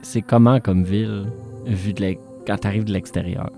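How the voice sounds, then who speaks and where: A man hosting a casual interview, asking follow-up questions in a lively way and laughing along with the guest. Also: on the low side, moderate pace, soft background music. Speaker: podcast co-host.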